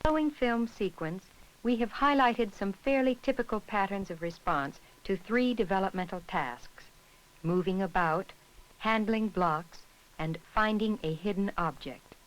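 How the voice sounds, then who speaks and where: A woman reading scripted narration for an educational film in a measured, instructional way. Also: mid-range voice, poor audio.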